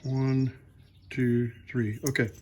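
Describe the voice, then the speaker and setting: A man hosting a hands-on repair demonstration in his workshop, talking to himself in an unhurried way as he works. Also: medium pitch, little pitch movement, a little mellow. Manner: unhurried, talks to himself while working. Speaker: host. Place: workshop with a lathe.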